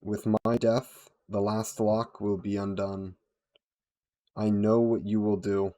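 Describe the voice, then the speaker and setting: A man voicing a frail, solemn old woman on her deathbed in an audio drama, speaking formally and deliberately. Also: lowish voice, level tone, warm tone, dry recording. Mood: solemn, knowing.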